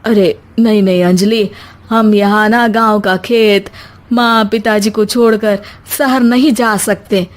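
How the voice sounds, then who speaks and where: A woman voicing a gentle, simple young village husband, mild in manner, in a moral story. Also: medium pitch, steady tone, slow, natural voice. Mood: soothing.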